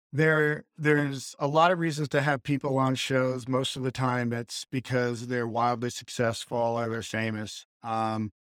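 A man hosts a podcast and introduces his guest at length, earnest and a little rambling, with many ums and restarts. His voice sits at a mid pitch for a man. The recording is close and dry, with no room sound. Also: dry recording. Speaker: podcast host. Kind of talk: casual interview.

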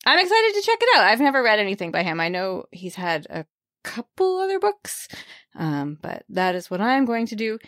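A woman's voice: mid-range voice, huge pitch swings, normal speed, fairly bright tone, no room sound, clean studio sound. A woman co-hosting a book podcast, chatting casually, rambling on tangents and laughing often. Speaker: podcast co-host.

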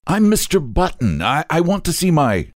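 A man voicing an excitable, stammering gentleman, a new father at his wits' end, in a storybook reading. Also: fairly low pitch, unhurried, no room sound, clean studio sound. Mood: nervous. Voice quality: hoarse when agitated. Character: frantic, appalled new father, a respectable gentleman.

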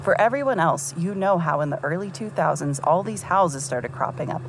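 Low-voiced chatty podcast host. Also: female voice, lively intonation, normal speed, slight background noise, poor audio. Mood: amused, intrigued. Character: chatty podcast host debunking scams, amused and intrigued. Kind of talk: casual podcast monologue.

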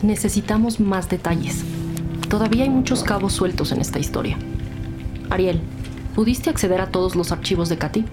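Woman as firm detective, loud music behind. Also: medium pitch, brisk, close to an everyday voice. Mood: skeptical, resolute. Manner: probing, businesslike. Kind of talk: scripted audio drama.

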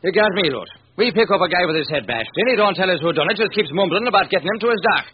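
Tough beat cop, lively and ungrammatical, vintage radio serial with faint hiss. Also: male voice, high voice, fast. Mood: matter-of-fact. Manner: ungrammatical, slangy. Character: tough, slangy male street cop. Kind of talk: old-time radio crime drama acting. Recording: dull, hissy vintage radio recording.

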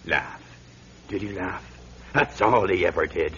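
An actor playing a dramatic man in a radio drama, describing things in slow, drawn-out phrases over a muffled, humming recording. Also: sits low, sharp tone, slight background noise. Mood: bitter.

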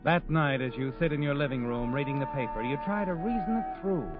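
A tinny vintage radio recording with music behind an actor playing a sardonic, all-knowing male narrator. His delivery is dramatic and needling, with highly animated pitch, as he narrates a crime drama. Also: fairly quick, close to an everyday voice. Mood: taunting. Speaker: host and narrator. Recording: tinny narrow-band old radio recording, musical backing.

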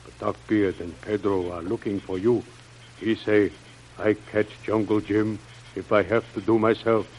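A man's voice: lowish voice, steady tone, a little slow, a little mellow, lightly acted. A man playing a humble, loyal native jungle servant in an old radio serial, speaking broken pidgin English and naming himself in the third person. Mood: dutiful, earnest. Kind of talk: old-time radio adventure serial acting. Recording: noisy old radio drama recording.

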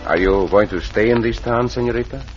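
An actor playing a gallant, suave Mexican outlaw hero, with an accent and courtly phrasing, in an old-time radio western. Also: male voice, mid-range voice, fairly quick, soft background music, lightly acted. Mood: calm authority. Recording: dull, noisy vintage radio recording.

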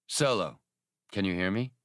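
A man acts out a suave, condescending space-adventure gunman. His low voice is courteous on the surface and mocking underneath. The intonation slides widely, and the sound is dry, as in an audiobook. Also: lively intonation, normal speed, fairly bright tone, no echo. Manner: polite, smooth, mocking formality. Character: suave, condescending male gunman villain, deadly calm.

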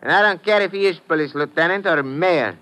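An actor playing a boozy, belligerent foreigner in an old-time radio drama, blustering in broken English. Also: male voice, a little high, fairly quick, sharp tone.

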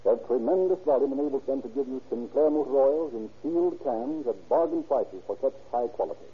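A man narrating and announcing in formal, declamatory 1930s radio style, with a rough, grainy voice. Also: mid-range voice, lively intonation, a little fast. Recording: muffled vintage 1930s radio recording.

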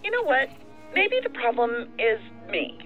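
An actress playing a frustrated, self-doubting federal agent, earnest and pointed, heard through a phone filter in an audio drama. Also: female voice, on the high side, very animated, measured pace. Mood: doubtful, troubled. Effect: telephone filter. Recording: tinny phone line, faint music behind.

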